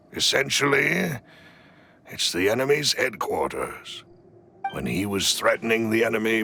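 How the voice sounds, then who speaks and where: Game butler: grave, deferential, formal, gravelly, expressive delivery. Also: male voice, lowish voice, a little hiss or hum, exaggerated voice. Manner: formal, deferential, measured explaining. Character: loyal, grave male butler and shrewd confidant. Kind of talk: dramatic video game cutscene acting.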